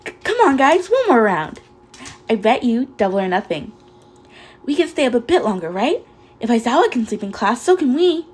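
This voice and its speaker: Woman putting on a high, whining, excitable teenage girl. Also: moderate pace, breathy edge. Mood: whiny pleading. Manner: squealing, whining, pleading. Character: excitable, pleading teenage superhero schoolgirl, bubbly and whiny.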